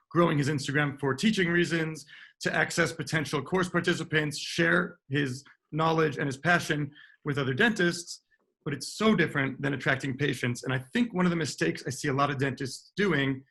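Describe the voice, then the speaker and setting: A man, a dentist, talking casually on a panel with a scratchy voice, often clearing his throat as he agrees and explains. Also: a little high, normal speed, a bit bright.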